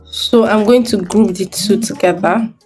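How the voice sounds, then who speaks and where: Slow, thinking-aloud woman design tutor. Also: medium pitch, steady tone, darkish tone, husky, soft background music. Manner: thinking aloud step by step while working. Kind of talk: screen-recorded software tutorial.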